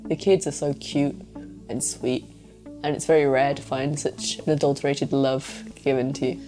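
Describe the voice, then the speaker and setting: A woman with a low, mellow voice answers interview questions in a thoughtful way, pausing as she searches for words. Faint guitar music sits behind her. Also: level tone. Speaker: interviewee, English teacher abroad.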